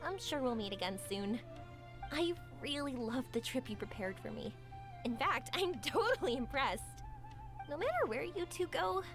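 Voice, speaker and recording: A woman's voice: high-pitched, heavily acted. A woman voicing a cheerful, spirited young fireworks maker in a video game, upbeat and affectionate. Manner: bright, upbeat, affectionate, giggly. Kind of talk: game voice acting. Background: game music.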